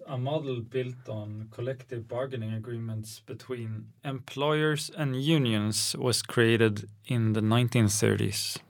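A man's voice: medium pitch, creaky, no echo, clean studio sound. A man reading a plain, informative scripted narration. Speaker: narrator of an explanatory note.

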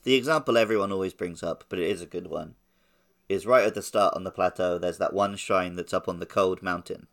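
Lower-voiced man in casual podcast chat. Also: a little fast. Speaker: podcast co-host. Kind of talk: casual chat about a video game.

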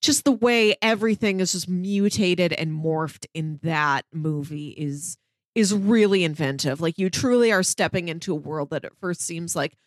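A woman's voice: on the low side, normal speed, dry recording. Enthusiastic, chatty woman podcast co-host, closely recorded. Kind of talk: casual review chat, recommending audio dramas.

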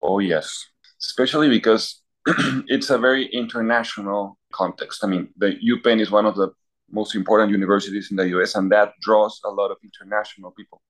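Low-voiced priest, thoughtful. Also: male voice, fairly even intonation, brisk, rough recording. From Peru. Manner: thoughtful, listing examples, clears throat. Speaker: university chaplain priest. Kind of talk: casual interview answers.

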